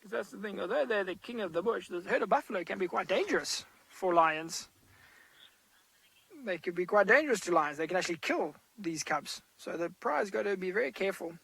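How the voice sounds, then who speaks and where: Safari guide guy, loud and animated, high gravelly voice, outdoors in a vehicle. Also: fairly quick, poor audio. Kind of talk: live wildlife commentary. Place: open game drive vehicle outdoors.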